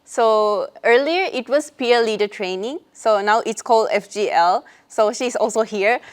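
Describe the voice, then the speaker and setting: A woman's voice: fairly high pitch, clean tone. A young woman interviewing fellow teaching assistants, speaking hesitantly with many fillers and restarts. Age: young adult. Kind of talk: interview questions and own answers.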